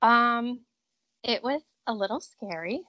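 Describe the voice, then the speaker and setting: A woman's voice: fairly high pitch, lively intonation, slow pace, dry recording, muffled recording. A woman in her thirties from Connecticut, chatting upbeat and talkative as an interview guest on an outdoor porch. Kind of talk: casual interview chat.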